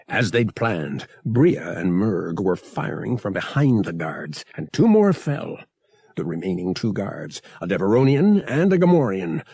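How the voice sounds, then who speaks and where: A man's voice: medium pitch, vocal fry, darkish tone, husky, dry recording, studio quality. A man narrating action fiction as a dramatic scripted audiobook read.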